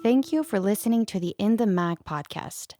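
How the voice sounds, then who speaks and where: A woman's voice: mid-range voice, normal speed, warm tone, no room sound, studio quality. A woman, presenting a podcast in a scripted way as its host. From Serbia. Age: 20s.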